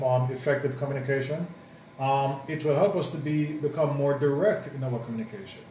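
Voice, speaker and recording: Halting, thoughtful man in an echoey room. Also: medium pitch, a little mellow. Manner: thoughtful, halting with many ums and restarts. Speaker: co-host, married partner. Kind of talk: casual chat on marriage advice. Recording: roomy echo, distant mic, faint noise.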